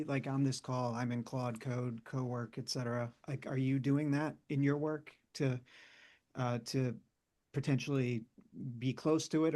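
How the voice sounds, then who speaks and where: A man's voice: medium pitch, dark tone. A man from Michigan asking a guest questions on a video call, curious and casual, often using "like" as filler. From Michigan, USA. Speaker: audience member asking questions.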